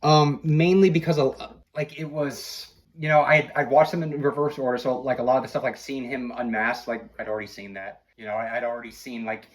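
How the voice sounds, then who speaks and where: A man in his forties speaks as a podcast guest with a mid-range voice. His delivery is casual and reflective, feeling its way through memories in halting phrases. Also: mid-range voice, fairly even intonation, low-quality recording. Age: 40s. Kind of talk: casual chat.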